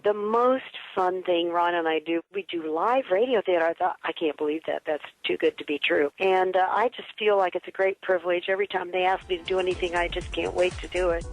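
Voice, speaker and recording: A woman's voice: fairly low pitch, brisk, hardly any pauses, soft background music, rough recording. An older woman with an American accent, talking enthusiastically as a guest performer in an interview.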